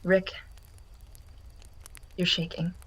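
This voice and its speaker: A woman's voice: on the low side, level tone, very slow, slightly rough, exaggerated voice. An actress playing a protective, worn wife and mother in a survivor camp, read as a drama table read. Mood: tender, teasing.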